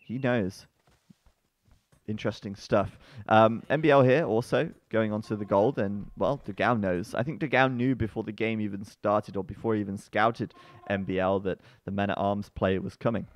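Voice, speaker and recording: Male esports caster, lowish voice, relaxed chatter with sudden excited shouts. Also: moderate pace, muffled recording. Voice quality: bassy-sounding voice. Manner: chatty, breaks into excited outbursts. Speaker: esports commentator. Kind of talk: live game commentary.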